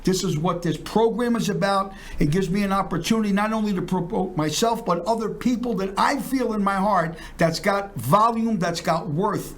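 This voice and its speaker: Rambling high-voiced showman host. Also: normal speed, few pauses. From South Philadelphia. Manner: boastful, rambling, jokey showman. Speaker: talk show host. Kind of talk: casual promotional chat.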